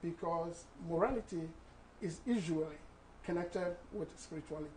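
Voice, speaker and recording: A Ghanaian man in his sixties, a guest author speaking in a measured, explanatory way in a studio interview on a lapel mic. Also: on the high side, darkish tone, slight background noise, low-quality recording. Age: 60s.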